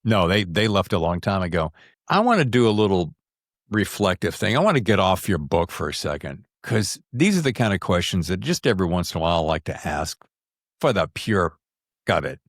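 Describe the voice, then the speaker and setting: A male podcast host with a deep voice and very lively intonation. He interviews in a casual, jokey way and leans on words for emphasis. The sound is dry and close. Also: fairly quick, dry recording.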